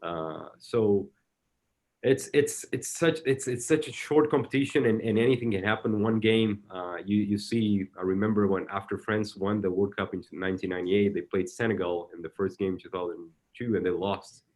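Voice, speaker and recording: A man whose first language is Portuguese speaks English with a Brazilian accent, his voice sitting very low. His delivery stops and restarts: he repeats small words again and again before the thought comes out. It sounds like relaxed interview talk from a soccer executive. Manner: halting, repeats words often while searching for them. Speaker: soccer technical director. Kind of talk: casual interview answers.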